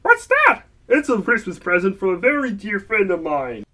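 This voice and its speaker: An excitable puppet character for a comic live radio play. The voice sits extremely high for a male and swings widely in pitch as it proclaims each line. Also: moderate pace, slight background noise, full character voice. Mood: warm, proclaiming.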